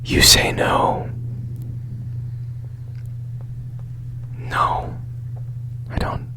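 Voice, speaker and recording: A man playing a strange, secretive, obsessive repairman in a hushed, deliberate, whispered ASMR roleplay with long pauses. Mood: intense, envious.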